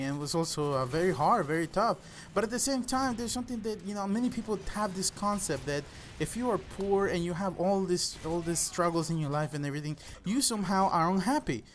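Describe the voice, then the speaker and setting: A man's voice: sits high, a little fast, a little hiss or hum. A young man from El Salvador, a native Spanish speaker with a Salvadoran Spanish accent, hosts a gaming channel, telling personal stories casually and earnestly to his listeners. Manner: earnest, addresses listeners as "guys". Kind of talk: casual personal storytelling over gameplay commentary.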